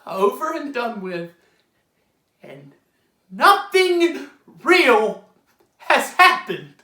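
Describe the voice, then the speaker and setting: Stage monologue: young man as self-pitying, bitter playwright; profane, forceful, slow and halting, lurching between mutter and shout, roomy echo. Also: sits high, sing-song, full character voice. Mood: bitter, frantic. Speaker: student actor. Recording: roomy, echoing room sound.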